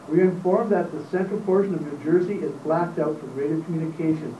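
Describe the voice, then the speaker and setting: A man's voice: high-pitched, a little mellow, close to an everyday voice. A man playing a formal, urgent radio newsreader in a live radio play, recorded in a roomy space from a distance. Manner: formal, measured reading. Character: urgent radio newsreader reading war bulletins. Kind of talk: 1930s radio drama reading. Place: hotel conference room, live panel reading. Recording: roomy, distant mic, faint noise.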